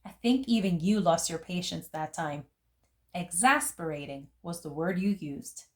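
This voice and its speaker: A woman reading a picture book aloud as a storyteller, phrasing it deliberately for young listeners. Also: fairly low pitch. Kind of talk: expressive picture book narration.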